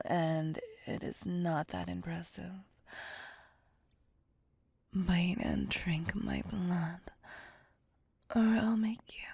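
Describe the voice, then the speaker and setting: A woman playing a seductive, possessive vampire lover in an intimate ASMR roleplay, breathy and whispered, with teasing menace. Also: on the low side, darkish tone, no echo, full character voice. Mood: menacing, commanding. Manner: slow, teasing, theatrical purring menace.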